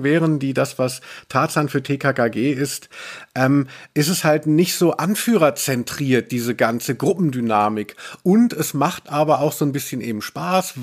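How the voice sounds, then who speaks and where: A man hosting a podcast, chatting casually and explaining things in a wry, rambling way. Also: mid-range voice. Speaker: podcast host, culture journalist. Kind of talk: casual podcast chat about audio dramas.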